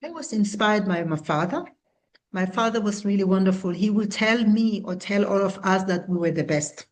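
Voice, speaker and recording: A woman in her sixties from South Africa, a founder answering interview questions in an earnest, measured way. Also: mid-range voice, animated, low-quality recording. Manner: earnest, measured, with small restarts. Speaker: guest, founder and CEO.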